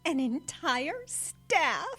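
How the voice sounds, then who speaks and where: A female performer playing a pampered, excitable queen in a comic radio drama, gushing and gasping in an affected way. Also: high voice, soft background music, exaggerated voice. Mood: thrilled. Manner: breathy gasps, gushing, affected, drawn-out words. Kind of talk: radio comedy audio drama acting.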